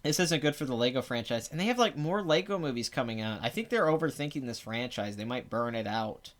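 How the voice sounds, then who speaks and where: Raspy, phlegmy man rambling about box office. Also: mid-range voice, animated, brisk. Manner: rambling, blunt, sarcastic asides. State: clogged throat. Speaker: box office show host. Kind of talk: casual live commentary.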